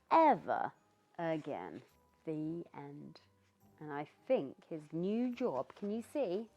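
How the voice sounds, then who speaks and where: A British woman reading a children's story aloud in an expressive, theatrical way, with asides to the young listener. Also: fairly low pitch. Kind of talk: animated read-aloud narration for young children. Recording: dull lo-fi sound with background music. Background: music.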